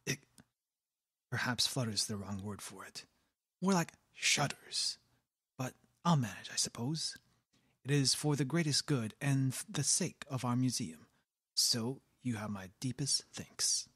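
Fussy male owl museum curator for a game, played by a man: formal, fluttery, squeamish, husky. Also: medium pitch, animated, a little slow, a bit bright, no room sound, studio quality. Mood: squeamish, resigned. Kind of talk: video game character voice practice.

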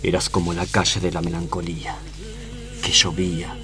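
A man's voice: low voice, monotone, moderate pace, lightly acted. An actor playing a proud, lovesick tough guy in a tango radio play, with an Argentine accent and poetic, dramatic delivery. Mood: melancholy. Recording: music behind the voice.

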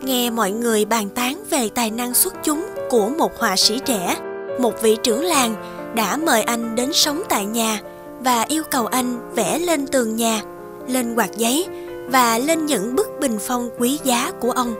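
A woman's voice: on the high side, measured pace. A woman reading scripted storybook narration for a cartoon. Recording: music behind the voice.

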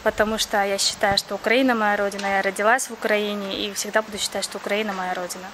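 A woman's voice: mid-range voice, level tone, quick pace, crowd noise. A young woman from Crimea, earnestly answering interview questions in plain speech with frequent hesitations. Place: outdoors near a café.

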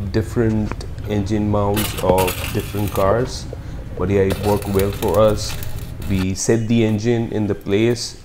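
A man from India walking through a car engine swap in a relaxed explanatory vlog, speaking matter-of-factly with occasional fillers, partly recorded on a phone in a garage workshop. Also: sits low, noisy background. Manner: slow, matter-of-fact walkthrough with fillers. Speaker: car build vlogger. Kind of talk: casual explanatory voice-over and on-site commentary.

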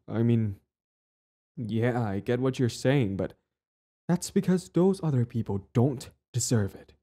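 A man's voice: medium pitch, animated, slow, long pauses, warm tone. A young man acting a possessive, lovestruck bully in an intimate boyfriend audio roleplay, speaking earnestly with hesitant stammers. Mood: tender, confessing.

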